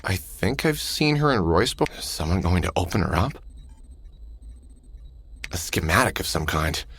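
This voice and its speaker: A man's voice: low-pitched, big pitch swings, normal speed, creaky, a bit bright, breathy edge, dry recording, full character voice. An actor playing a weary, cynical middle-aged man in a sci-fi visual novel, dry and sardonic, muttering observations to himself. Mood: puzzled, musing. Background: music.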